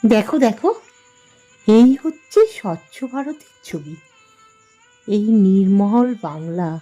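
A woman's voice: medium pitch, animated, takes it slowly, mellow, soft background music. A woman playing an observant, critical wife in an audio drama, speaking in an indignant, emphatic manner. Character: Saraswati, observant, critical wife on a car journey.

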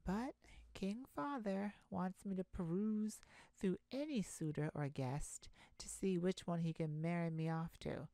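A woman's voice: lowish voice, gravelly, dark tone, no room sound. A woman playing a weary, proud and guarded young half-blood princess in a scripted roleplay audio drama, speaking in formal, wistful phrases. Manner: formal, measured, wistful storybook speech.

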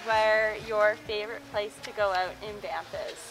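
A woman's voice: mid-range voice, fairly even intonation, normal speed, a little hiss or hum, low-quality recording. A young woman co-hosting a casual outdoor interview, asking teasing, joking questions. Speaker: co-host. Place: outdoors on a snowy ski trail. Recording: loud music bed over the voice.

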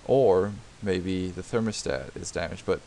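A man's voice: low voice, measured pace, mellow, slight background noise. A man narrating a home repair tutorial over a diagram, explaining step by step with many fillers and restarts. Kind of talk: unscripted screen-recorded tutorial narration.

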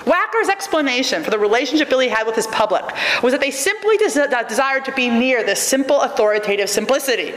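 A woman in her thirties reads a prepared academic lecture at a podium, measured and wryly emphatic, her fairly high voice carrying through a large auditorium. The sound is roomy with a long reverb tail and a faint hiss of room noise behind it. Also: a bit bright. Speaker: keynote lecturer. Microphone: podium microphone.

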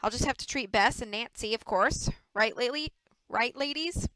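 A woman narrator acts out a swaggering young male TV heartthrob in a storybook. He is flippant and boastful, always teasing. The voice is smooth and dry. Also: a little high, moderate pace, a bit bright, no room sound, close to an everyday voice, poor audio. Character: cocky, teasing young male soap opera star.

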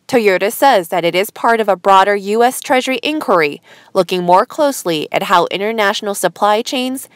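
A woman presents a scripted news bulletin as its host. Her pitch sits in the middle range for a woman, and her delivery is formal and measured. The sound is dry, with no room around it. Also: dry recording. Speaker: news headline reader and host.

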